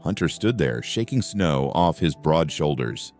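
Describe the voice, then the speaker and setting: A man narrating a first-person story in an expressive, wry storytelling manner. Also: a bass voice, a little slow. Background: faint music.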